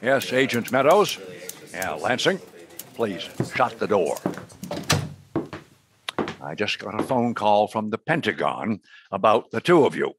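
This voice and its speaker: Man acting a low-voiced American agency director. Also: very animated, background noise. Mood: brisk. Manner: authoritative, deliberate. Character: brisk male intelligence agency director, commanding boss. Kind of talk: radio drama acting.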